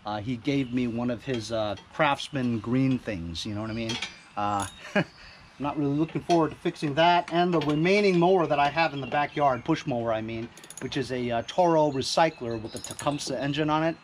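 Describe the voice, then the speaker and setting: A man's voice: medium pitch, a little fast, slight background noise. A man, chatting casually and rambling with fillers as he fixes machines outdoors, recorded on an action camera. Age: 50s. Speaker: small engine repair vlogger. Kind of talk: casual chat while repairing. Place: outdoors in a driveway and backyard.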